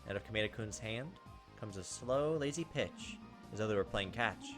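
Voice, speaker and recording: A man's voice: lowish voice, expressive, normal speed, over music. A man hosting a gameplay video, reading a visual novel aloud as dramatized, playful narration.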